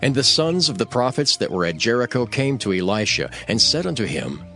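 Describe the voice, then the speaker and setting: Scripture narrator, man: solemn storyteller with animated melody over soft music. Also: mid-range voice, brisk.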